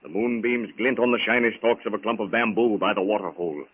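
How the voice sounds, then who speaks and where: A man's voice: fairly low pitch, fast talker, slightly rough. A man narrating a jungle adventure serial in florid, theatrical 1930s radio style. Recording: tinny, hissy 1930s radio recording.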